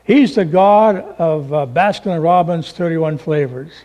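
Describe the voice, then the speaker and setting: A man's voice: fairly high pitch, unhurried, a little mellow. A man in his seventies preaching a sermon in a folksy, humorous way, full of rhetorical questions. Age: 70s.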